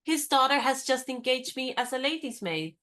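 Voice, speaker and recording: A woman's voice: sits high, animated, normal speed, a bit bright. A woman acting a cool, poised and inquisitive young woman in a period radio play. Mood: curious, brisk.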